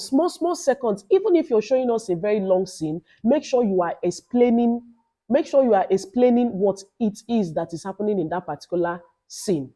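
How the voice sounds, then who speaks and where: A Nigerian woman with a loud voice and a Nigerian accent gives informal advice as a vlogger, in an emphatic, instructive way with repeated phrases. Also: on the high side. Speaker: YouTuber giving advice to new creators. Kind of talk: informal advice vlog with Nigerian Pidgin asides.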